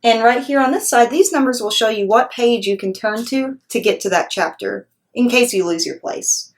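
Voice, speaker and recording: A woman's voice: fairly high pitch, normal speed. A woman reading aloud to young children, explaining in a teacherly way and speaking directly to her listeners. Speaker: read-aloud storyteller for children.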